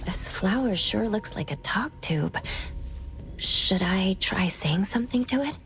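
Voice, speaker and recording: A woman's voice: medium pitch, expressive, measured pace, fairly bright tone, soft background music. Composed young woman investigator. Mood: curious, composed. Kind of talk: video game voice acting.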